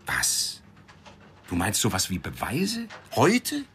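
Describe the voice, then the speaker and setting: An actor playing a foul-mouthed, sarcastic newspaper editor in a radio drama, blustering and sardonic. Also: male voice, lowish voice, huge pitch swings, slow, fairly bright tone, a little hiss or hum, heavily acted. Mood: wry, warning.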